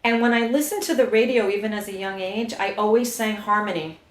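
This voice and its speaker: A middle-aged woman from New York, telling her life story in a lively way as an interview guest, acting out quotes and breaking into song. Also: mid-range voice, fairly quick, echoey room. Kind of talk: casual interview chat.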